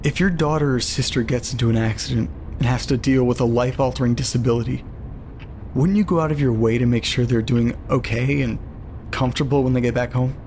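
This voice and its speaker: Faint noise sits behind an uneasy, lonely man played by an actor in an audio drama. He reads eerie letters aloud as a monologue, in a middle-pitched voice. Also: fairly even intonation, fairly quick, a little mellow, close to an everyday voice. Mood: bewildered, troubled. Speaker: narrator.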